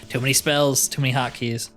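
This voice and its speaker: A man's voice: mid-range voice, steady tone, a little slow, a bit bright. A man giving casual gameplay commentary, thinking aloud with dry, joking asides. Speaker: gamer narrating a roleplay playthrough. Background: game music.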